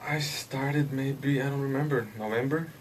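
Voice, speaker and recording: Flat low-voiced Latvian man, distant mic. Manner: halting, hesitant, with frequent "uh" and restarts. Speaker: hockey player. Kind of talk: casual interview answers. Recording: distant mic with faint background noise.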